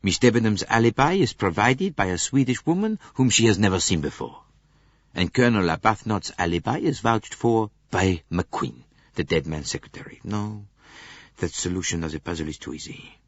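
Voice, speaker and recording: A man voicing a shrewd, genial Belgian detective in an audiobook, with a French-speaking Belgian accent and a deliberate, emphatic delivery. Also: fairly low pitch, animated, no echo. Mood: reasoning. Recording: muffled lo-fi audiobook recording.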